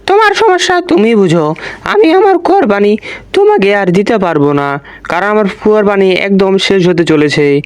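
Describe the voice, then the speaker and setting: A man's voice: high-pitched, sing-song, moderate pace, no room sound. A man playing a stingy, unyielding village uncle in a cartoon, firm and insistent in manner. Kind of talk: cartoon voice acting.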